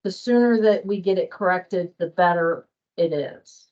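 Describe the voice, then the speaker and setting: A woman's voice: fairly low pitch, measured pace. A woman presenting an informal workplace training session, speaking in a plain-spoken, explanatory way. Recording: dull, muffled lo-fi audio.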